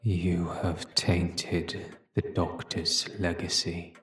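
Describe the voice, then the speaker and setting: An actor playing a brooding alien time traveller in a fan audio drama, speaking in a halting, portentous way with a gravelly, husky voice. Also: male voice, sits low, flat delivery, a bit bright, exaggerated voice. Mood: accusing, troubled. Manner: slow, halting, deliberate, portentous.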